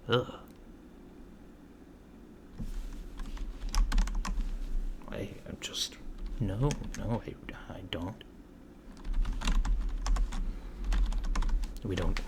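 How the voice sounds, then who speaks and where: A man playing a curious, puzzled alien researcher in a soft-spoken ASMR roleplay, halting and matter-of-fact, often slipping into whispers. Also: low voice. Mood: baffled. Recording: clear background noise with keyboard typing. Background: computer keyboard typing.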